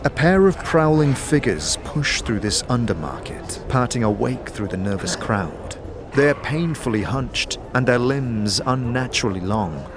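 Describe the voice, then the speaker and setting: A man giving dramatic scripted narration for a fantasy audio drama, speaking in a measured, deliberate manner. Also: mid-range voice, animated, noisy background. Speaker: narrator and game protagonist. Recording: prominent music bed under the voice.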